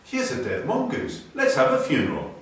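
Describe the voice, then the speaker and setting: A man voicing a small, excitable boy in a storybook, exclaiming in a childlike way. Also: fairly high pitch, moderate pace, heavy reverb, distant mic, voice pushed up. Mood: excited.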